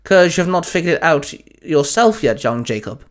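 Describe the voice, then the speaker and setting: A man's voice: a little high, sing-song, normal speed. A man voicing a chirpy, knowing shopkeeper who mentors a younger man in an audio story. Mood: teasing.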